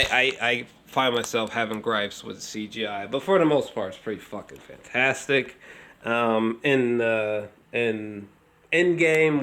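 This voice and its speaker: Man, mid-pitched voice, casual film reviewer, rambling, halting, repetitive, sweary, emphatic fan talk. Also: fairly bright tone. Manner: halting, searching for words, repeats himself; casually profane, slangy.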